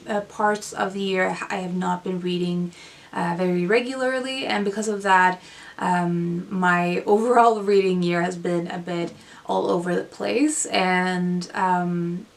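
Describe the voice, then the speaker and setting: A woman's voice: mid-range voice, vocal fry, far from the mic. A young woman whose first language is Swedish, talking about her reading in a thoughtful casual vlog, with frequent ums and self-corrections, recorded at home in front of bookshelves. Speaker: book vlogger. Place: indoors, small room with bookshelves.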